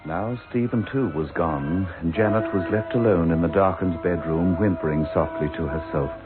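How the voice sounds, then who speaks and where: An actor playing a grave, wry storyteller, narrating a radio ghost drama in a deliberate, theatrical way. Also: male voice, sits low, lightly acted. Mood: ominous. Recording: tinny radio recording with music underneath.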